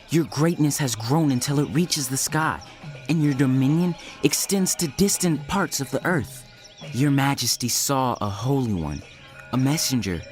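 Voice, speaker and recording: A man's voice: medium pitch, lightly acted. Man acting earnest prophet advising a king, solemn n pleading, audio bible drama, music behind. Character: earnest male Hebrew prophet counselling a king.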